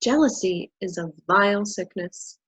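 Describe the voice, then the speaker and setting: An actress in a staged play reading, playing a haughty, paranoid boss of a scheme with condescending theatrical delivery. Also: female voice, medium pitch, a little slow, fairly bright tone, slightly rough, poor audio. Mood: conspiratorial, grandiose.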